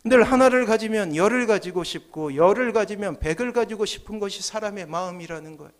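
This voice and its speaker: The man at the pulpit on a Sunday morning, pitching his voice high over the pews, dropping it low for effect and then pressing each point home. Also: brisk. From South Korea. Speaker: pastor. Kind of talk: sermon. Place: church sanctuary, at the pulpit. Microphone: gooseneck podium microphones.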